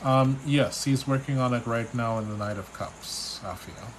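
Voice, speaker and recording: A man from Trinidad with a Trinidadian accent, a spiritual reader on a live stream, relaxed and chatty as he reads out viewers' questions. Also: medium pitch, moderate pace, slight background noise. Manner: relaxed, chatty, reads names and questions aloud, restarts often. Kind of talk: live stream reading, answering chat questions.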